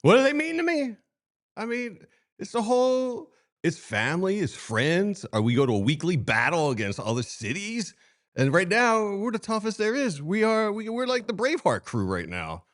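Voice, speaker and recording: A man co-hosting a sports talk show, teasing and firing back quick comebacks. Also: high-pitched, sing-song. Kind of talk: casual sports talk banter.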